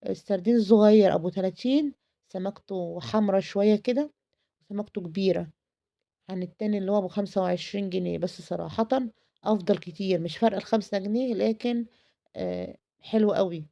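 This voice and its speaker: An Egyptian woman talks through a home cooking vlog in an effusive, chatty way, stacking repeated words for emphasis. Her voice sounds tired, with a gravelly fry and a mellow, darker tone. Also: medium pitch, fairly quick, dull audio. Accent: Egyptian Arabic.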